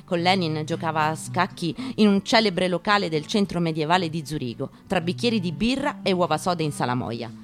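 A woman gives a dramatic, measured literary reading, breaking emphatic short phrases with deliberate pauses. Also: fairly low pitch, expressive. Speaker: stage actress reading aloud. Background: acoustic guitar.

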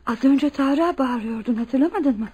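An actress playing a warm, welcoming married woman in a radio drama, expressive and reassuring. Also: high-pitched, normal speed, slightly rough. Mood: alarmed.

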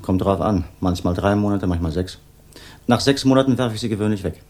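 An actor playing a gruff, unhelpful small-shop photographer in a 1960s German radio crime drama, answering tersely and grudgingly. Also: male voice, fairly low pitch, fairly quick, darkish tone. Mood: grudging, dismissive.

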